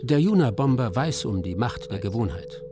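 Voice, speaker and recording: A man's voice: medium pitch, lively intonation, moderate pace, over music. Solemn male radio play narrator. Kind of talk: scripted dramatic documentary narration.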